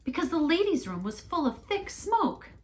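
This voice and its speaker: A woman's voice: sits high, sing-song, moderate pace, a little hiss or hum, pitched above the performer's own voice. A woman voicing an indignant, flustered church committee lady with a huffy, defensive manner in a storybook reading.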